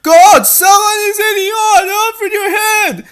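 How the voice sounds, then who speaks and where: A woman's voice: very high-pitched, measured pace, a bit bright, voice pushed up. A woman voicing an anxious, bossy fourteen-year-old princess in a theatrical, exclamatory manner for a fan fiction story. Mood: alarmed, shouting.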